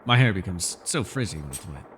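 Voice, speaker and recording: A man performs a bookish male elf companion for a fantasy audio roleplay, with a lowish voice and very lively intonation. He is wry, guarded and formally wordy, and the sound carries a long hall-like reverb. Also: brisk, slight background noise. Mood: brisk. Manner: wry, wordy and formal, flustered when pressed. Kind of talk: fantasy ASMR roleplay acting.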